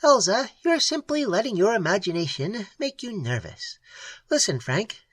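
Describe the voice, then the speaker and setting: A reader playing a brusque, confident old schemer in an audiobook, talking in a dismissive, blustering way. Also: female voice, mid-range voice, husky, no echo, pitched above the performer's own voice.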